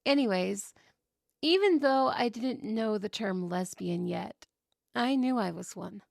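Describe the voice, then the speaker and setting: A woman's voice: mid-range voice, animated, unhurried, gravelly, darkish tone, no echo, studio quality. A woman playing an outgoing, cheerful young woman in a scripted audio roleplay, telling stories in a chatty, playful way. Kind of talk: scripted ASMR roleplay acting.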